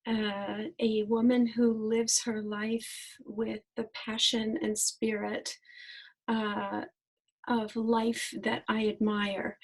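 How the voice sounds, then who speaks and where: A woman hosting an interview show, warm and enthusiastic, with frequent exclamations. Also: medium pitch, husky, rough recording. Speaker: host.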